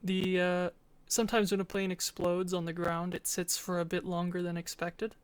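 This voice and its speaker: A woman's voice: lowish voice, little pitch movement, moderate pace, no room sound. A woman giving an informal, matter-of-fact presentation as a student, explaining and narrating a game demo.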